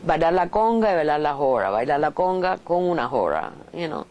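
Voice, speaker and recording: Thoughtful Cuban woman, low voice. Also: big pitch swings, moderate pace, a little mellow, a little hiss or hum. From Cuba. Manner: thoughtful, frequent restarts and rhetorical questions. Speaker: professor, interviewee. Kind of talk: reflective interview answers.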